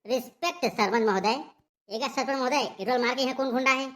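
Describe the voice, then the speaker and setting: A woman's voice: sits high, fairly quick, a bit bright. A woman voicing a blustering, bullying village police inspector in a Chhattisgarhi comedy cartoon, with curt, scolding questions. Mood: officious.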